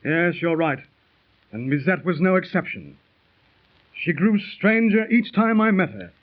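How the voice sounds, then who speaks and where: A man's voice: high voice, sing-song. A man telling a tale, tinny old radio sound. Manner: storytelling, measured and dramatic. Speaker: narrator within the drama. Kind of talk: 1930s radio drama acting. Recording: tinny 1930s radio transcription.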